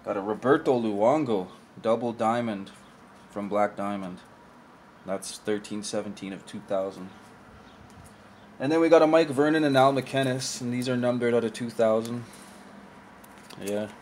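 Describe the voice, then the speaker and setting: A man's voice: mid-range voice, moderate pace, pauses often, darkish tone, a little hiss or hum. A young man casually showing off old collectible cards in a room at home, talking offhand into a camera mic on the table and often trailing off. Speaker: card collector vlogger. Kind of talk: casual card showcase commentary. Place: indoor room with shelf of collectibles.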